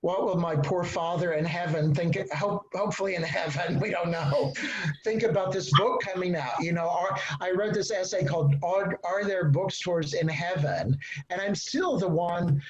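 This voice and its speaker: A man's voice: sits high, moderate pace, a loud crowd. A man with a Texas accent, an author talking as a panel guest in a chatty, digressive way with laughing asides. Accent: Texan. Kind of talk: casual panel conversation. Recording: dull lo-fi sound.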